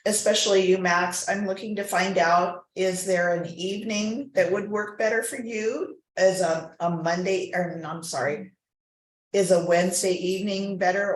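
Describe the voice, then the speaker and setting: A woman with a fairly low voice chairs a board meeting in a reverberant room. She works through motions and agenda items in a procedural way, slipping into informal conversational remarks. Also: fairly even intonation, moderate pace, slight background noise. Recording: echoey room recording.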